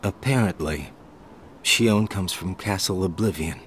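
An easygoing young friend. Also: male voice, sits low, unhurried, slight background noise. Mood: calm. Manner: casual, easygoing. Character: laid-back young man, loyal friend. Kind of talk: video game cutscene voice acting. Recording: game audio with faint music.

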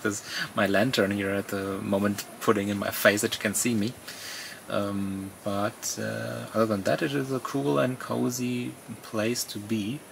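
A man, chatting casually and haltingly as a camping vlogger. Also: fairly low pitch, gravelly, fairly bright tone, slight background noise, low-quality recording. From Germany. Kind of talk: casual vlog chat. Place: outdoors at a campsite and in an awning tent.

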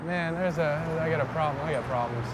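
A man's voice: fairly high pitch, a little fast. Young man joking with strangers. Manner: joking, self-deprecating, calls out to strangers. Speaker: vlogger doing a public stunt. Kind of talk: casual self-filmed vlog. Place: indoors then on a busy city street. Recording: street noise behind the voice. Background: traffic and street noise.

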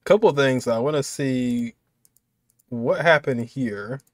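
A young man giving casual, excited reaction commentary with exclamations and slang. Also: mid-range voice, slow, dry recording. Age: young adult. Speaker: game reaction video host.

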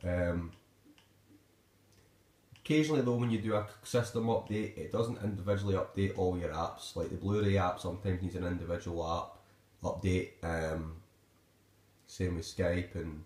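A man's voice: sits low, a little mellow, echoey room. A man with a Scottish accent giving a casual, unscripted review in a hesitant, rambling way, recorded on a phone in a living room. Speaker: amateur gadget reviewer. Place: indoors in a living room at home. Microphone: handheld phone camera.

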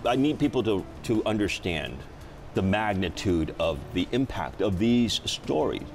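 A man's voice: fairly low pitch, lively intonation, unhurried, gravelly, a little mellow, a little hiss or hum. A middle-aged man hosting a studio talk show, speaking conversationally and emphatically, repeating phrases for stress. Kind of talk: conversational late-night interview. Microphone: lapel mic.

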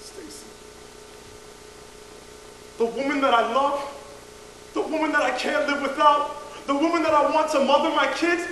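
A man's voice: extremely high pitch, a bit bright, heavy reverb, in a large hall, far from the mic, a little hiss or hum, poor audio. An actor playing an anguished, volatile man in an intense dramatic confession monologue. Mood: anguished rage.

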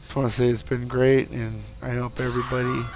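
A tired, hoarse man giving casual let's play commentary, swearing and yelping at the game. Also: mid-range voice, flat delivery, a little fast, a little mellow, slight background noise, poor audio. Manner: swears a lot, yelps at the game.

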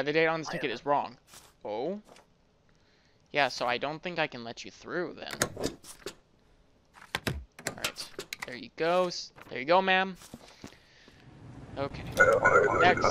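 Rambling male gamer over audible noise. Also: medium pitch. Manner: rambling, thinking aloud, self-deprecating. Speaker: gaming video host. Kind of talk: casual gameplay commentary.

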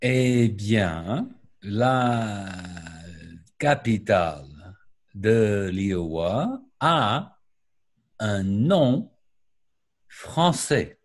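A middle-aged American man, a native English speaker, answering questions over a video call in careful, deliberate French with an American accent. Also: fairly low pitch, sing-song. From United States. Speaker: guest, video editor. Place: home room with paintings and lamp, indoors. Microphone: webcam on a video call.

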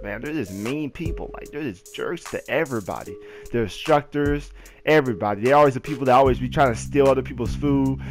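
A young American man casually telling personal stories in a slangy, repetitive, animated way. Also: medium pitch. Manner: slangy, repetitive, animated retelling with acted quotes. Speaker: gaming video storyteller. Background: background music with guitar.

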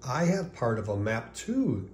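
A man voicing a kind, gentle young girl dragon from a children's storybook in an agreeable manner. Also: medium pitch, very animated, measured pace, a little hiss or hum. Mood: excited. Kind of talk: children's storybook character reading.

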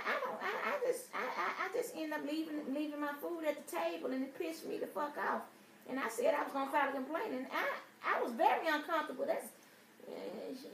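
A woman playing an aggrieved, easily offended customer, rambling and swearing through a prank phone complaint. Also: sits high. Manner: indignant, rambling, repetitive, foul-mouthed. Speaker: prank caller. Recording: roomy, distant, noisy phone prank recording.

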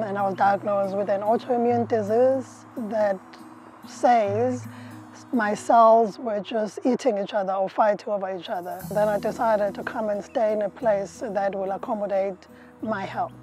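A woman's voice: mid-range voice, warm tone, low-quality recording. A middle-aged woman beekeeper, interviewed outdoors in an orchard, explaining with frequent fillers and restarts. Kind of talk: interview answers.

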